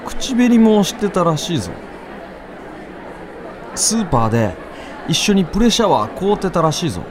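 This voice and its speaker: A man's voice: sits high, sing-song, moderate pace, crowd noise, background noise. A man playing an earnest schoolboy gossiping in an in a radio skit. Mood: gossipy, urgent. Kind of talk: amateur radio drama acting.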